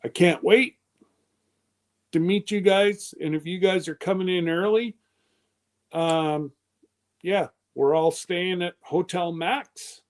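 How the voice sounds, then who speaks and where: A man's voice: high voice, slow, pauses often. A man in his fifties hosting a live stream, chatting casually in a loose, rambling way. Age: 50s.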